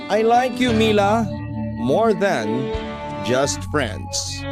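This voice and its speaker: A man's voice: sits high, big pitch swings, extremely slow, over music, voice pushed up, heavily acted. A Filipino man playing an intense, jealous, lovestruck young suitor in a radio love drama. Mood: pleading, intense.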